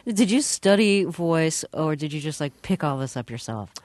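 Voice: mid-range voice, expressive, normal speed, darkish tone, no room sound. A radio host asking curious, appreciative interview questions.

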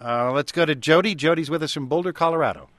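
A man's voice: fairly high pitch, fast talker, a bit bright, no room sound. A man hosting a call-in radio show, easygoing and wry as he takes callers. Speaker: radio host.

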